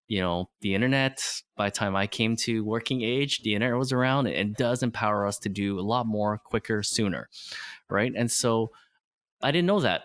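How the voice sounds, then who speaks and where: A man in his forties whose first language is Vietnamese, a podcast guest explaining ideas earnestly in simple phrases. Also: on the low side. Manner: earnest, simple phrasing, frequent "right?" tags. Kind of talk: motivational interview talk.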